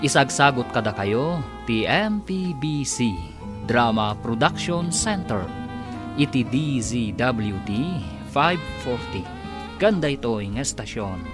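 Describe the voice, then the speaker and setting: A man narrating and announcing a radio drama serial. His delivery is formal and measured, with a lively, rising and falling pitch. Music runs under his voice throughout. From Philippines.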